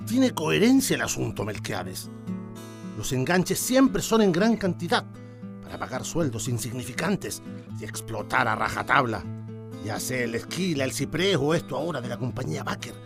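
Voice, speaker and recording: A man's voice: mid-range voice, big pitch swings, normal speed, gravelly, music in the background. An actor with a Chilean accent playing a cautious, authoritative newspaper director in a radio drama, speaking emphatically and repeating his words. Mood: grave, cautious. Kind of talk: Spanish-language radio drama acting.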